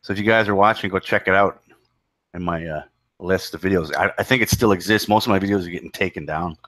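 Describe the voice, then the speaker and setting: A man hosting a casual online group chat, blunt and quick with short interjections. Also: low-pitched, a bit bright, no echo. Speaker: host.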